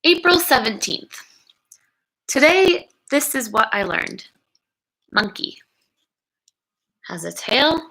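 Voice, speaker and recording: A woman reads a children's book aloud at a very unhurried pace. Her voice is bright and crisp-edged, with noticeable creak at times. She is expressive and encouraging, pausing to wonder aloud with her young listeners. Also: a little high, low-quality recording. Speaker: educator reading a picture book aloud to children.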